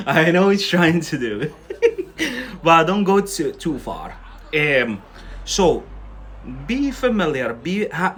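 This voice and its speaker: A man's voice: a little high, fairly bright tone. A young man, not a native English speaker, teaching English on a live stream, chatting casually with viewers, often laughing and tagging on "yeah?".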